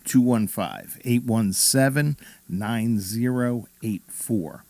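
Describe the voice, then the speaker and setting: A man appearing as a mortgage lender guest on a talk show, speaking in a measured, matter-of-fact way. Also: mid-range voice, darkish tone, no echo. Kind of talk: casual talk radio chat.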